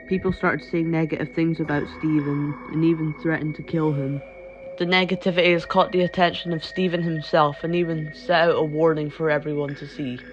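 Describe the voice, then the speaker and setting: A man reading a scripted horror story as the narrator of an online video. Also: a little high. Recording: dull, lo-fi voice track. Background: background music.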